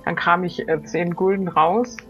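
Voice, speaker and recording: A woman's voice: lowish voice, fairly even intonation, unhurried, fairly bright tone, muffled recording. A woman playing a polite, inquisitive crew member with a hesitant manner in an improvised role-play comedy. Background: faint music.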